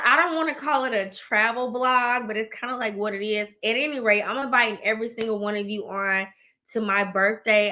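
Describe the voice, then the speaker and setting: A woman in her thirties hosting a casual chat show, excitable and chatty, laughing often and using slang. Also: mid-range voice. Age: 30s. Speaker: host.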